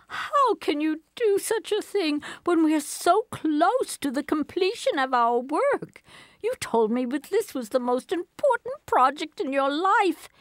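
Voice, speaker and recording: A woman's voice: sits high, no echo, studio quality, full character voice. A woman playing a fervent, prim, eccentric zealot in a comic radio play, earnest and melodramatic. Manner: melodramatic, earnest, indignant declaiming. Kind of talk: comedic radio play acting.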